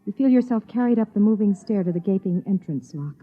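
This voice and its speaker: A woman's voice: medium pitch, lively intonation, brisk, natural voice. An actress playing an uneasy woman passenger hiding a secret, in a 1950s radio drama. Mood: tense, hushed narrating. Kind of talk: 1950s radio drama, second-person narration. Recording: muffled, tinny 1950s radio recording.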